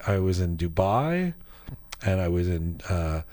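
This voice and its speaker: A low-pitched man chatting as a podcast host in a studio. He talks in a rambling, animated way, often restarting his sentences as he tells stories. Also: measured pace. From Iranian heritage. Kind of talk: casual chat.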